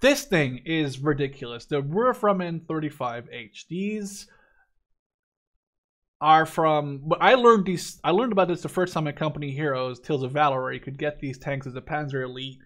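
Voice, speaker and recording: A young man from the Netherlands with a voice a little higher than most men's. He talks through a game as a YouTuber would, casually and informally. His delivery is opinionated and blunt. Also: moderate pace, a bit bright. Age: 20s. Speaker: gaming YouTuber reviewing a game. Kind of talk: casual gameplay commentary.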